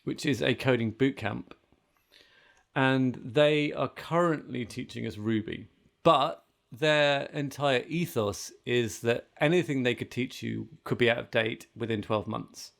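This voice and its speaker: A man with a mid-range voice talks casually as a podcast host. There is noticeable creak and fry in his voice, and he speaks conversationally over a remote call. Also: mid-range voice, measured pace, fairly bright tone. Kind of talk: casual chat. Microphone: remote video call setup with headphones.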